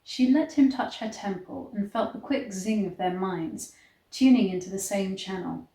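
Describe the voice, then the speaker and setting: A woman reading a romance story aloud in a dramatic, expressive way, stretching words for emphasis. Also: medium pitch, moderate pace. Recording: echoey, roomy sound.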